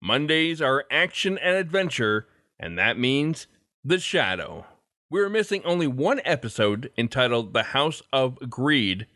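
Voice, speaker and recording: A man's voice: mid-range voice, sing-song, measured pace, pushed and bright, no echo, clean studio sound. An American man hosting a podcast, reading a scripted introduction and promo. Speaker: podcast host.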